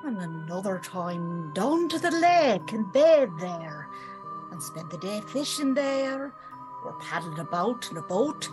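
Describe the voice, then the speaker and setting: A woman's voice: mid-range voice, big pitch swings, slow pace, music in the background. A woman playing a secretive older servant telling tales in rustic, old-fashioned phrases for a gothic audio drama. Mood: hushed storytelling. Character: knowing, gossiping older female servant, secretive storyteller.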